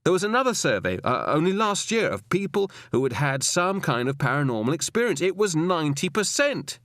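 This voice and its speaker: A man's voice: a little high, very animated, brisk, a bit bright, no echo, clean studio sound, pitched above the performer's own voice. A man voicing a stubborn, defiant male police detective in an audiobook, insistent and argumentative. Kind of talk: audiobook character dialogue.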